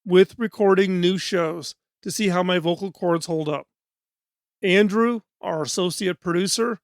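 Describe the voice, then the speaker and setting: High-voiced, hoarse male podcast host. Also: no room sound, studio quality. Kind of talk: scripted podcast monologue. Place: studio.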